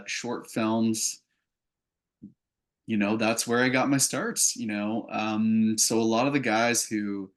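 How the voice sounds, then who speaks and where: A Canadian man answering interview questions casually, as a filmmaker, in an earnest, reflective way. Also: lowish voice. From Calgary, Canada. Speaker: filmmaker and actor, interview guest.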